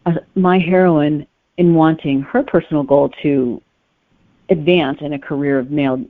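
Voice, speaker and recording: A woman's voice: deep voice, fairly even intonation, moderate pace, no room sound. A woman on a muffled, phone-like connection, talking as a guest author in an interview. The sound is narrow and close, with little room to it. The delivery is conversational and explanatory, with careful qualifications. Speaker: guest novelist.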